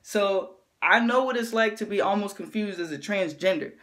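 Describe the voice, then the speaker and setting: A woman with a deep voice gives an animated opinion rant to camera, often checking in with her listener. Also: normal speed. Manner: animated, keeps asking "you know what I'm saying". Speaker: vlogger.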